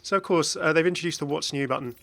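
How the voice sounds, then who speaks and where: Bright-voiced man doing casual gaming commentary. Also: on the high side, fast, gravelly, a little hiss or hum. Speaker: video host, game content creator.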